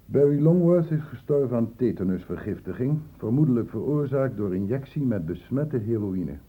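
An actor playing a stern, probing doctor in a Dutch radio drama, with measured, clinically precise questioning. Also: male voice, mid-range voice, expressive, breathy edge. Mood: grave, accusing. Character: probing, stern male doctor, calmly cornering a suspect. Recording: muffled phone-like old radio recording.

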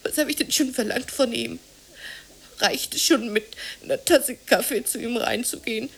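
A woman's voice: fairly high pitch, moderate pace, gravelly, fairly bright tone, breathy edge, exaggerated voice. Bitter, worn-down working-class woman. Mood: bitter, agitated. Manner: colloquial, confiding, plaintive, sometimes near whispering. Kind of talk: 1980s German radio drama acting.

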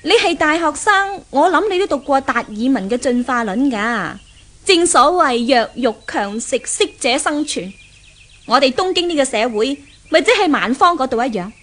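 A woman's voice: high voice, expressive, normal speed, fairly bright tone. An actress playing an arrogant, domineering young rich woman in a 1970s radio drama, with a Hong Kong Cantonese accent and a sneering, imperious manner. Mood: haughty, mocking.

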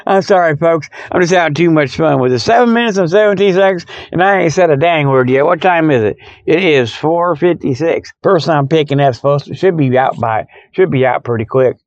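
Man, fairly high voice, folksy rambling monologue, jokey, dull lo-fi sound, parked truck. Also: moderate pace, no room sound. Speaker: podcast host. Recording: dull, muffled lo-fi audio.

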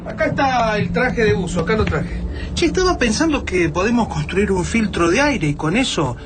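A man's voice: high-pitched, very animated, normal speed. An actor with an Argentine accent playing an anxious, eager man full of plans in a radio drama. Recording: clearly noisy radio play track.

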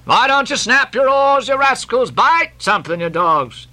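A man performs a rascally, jolly whaling mate in a sea-novel audiobook. He drawls coaxingly, then scolds his oarsmen in fun, with a high, bright voice that leaps around in pitch. The recording is dry. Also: very animated, normal speed, heavily acted. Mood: jolly scolding. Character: wheedling, rascally, jolly second mate urging his whaleboat crew. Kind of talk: audiobook character acting.

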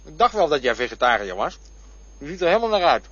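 An actor in a radio drama playing a fearful man who looks back on his poor childhood, talking in a poetic, musing and sometimes prickly way, with a husky voice. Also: on the high side, sing-song, fast, a bit bright, full character voice. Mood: prickly, defensive. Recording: dull lo-fi radio play recording.

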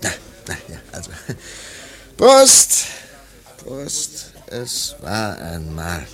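An actor in a German radio play voicing a disillusioned, wistful male artist who talks in a theatrical, halting way. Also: low voice, huge pitch swings, a bit bright, exaggerated voice. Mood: nostalgic, reverent.

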